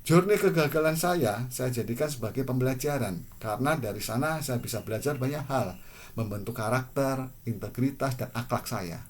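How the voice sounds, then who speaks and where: A man in his forties from Indonesia, speaking to camera in a measured, earnest and reflective personal monologue. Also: mid-range voice. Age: 40s. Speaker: vlogger.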